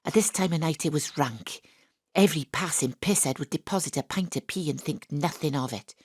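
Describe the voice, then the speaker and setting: A woman's voice: deep voice, a little fast, darkish tone, breathy edge, dry recording. A woman playing a wry, hard-boiled private detective, narrating her case dryly in a noir audio drama. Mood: wry, gritty. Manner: wry, dry first-person storytelling.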